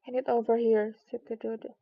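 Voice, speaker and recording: Casting: solemn storybook dodo, self-important and grave woman reading, high voice, creaky edges. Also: little pitch movement, fairly quick, highs cut off. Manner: grave, declarative. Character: solemn, pompous dodo bird, leader of the party.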